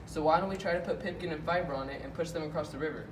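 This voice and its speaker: A man's voice: on the high side, racing, lightly acted. A man voicing a clever, observant rabbit who explains eagerly in an amateur puppet show. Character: clever, observant male rabbit with practical ideas. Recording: echoey, noisy room, far from mic.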